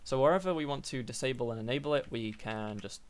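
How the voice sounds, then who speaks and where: A young man with a slightly croaky voice, hosting a casual coding tutorial and thinking aloud with fillers and self-corrections. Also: medium pitch, quick pace, slight background noise. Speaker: programming tutorial host.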